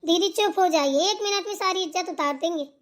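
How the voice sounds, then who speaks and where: A woman voicing a quick-tempered young daughter-in-law in a family cartoon, arguing and complaining with sarcasm. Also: very high voice. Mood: defiant, angry. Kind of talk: amateur Hindi family cartoon dialogue.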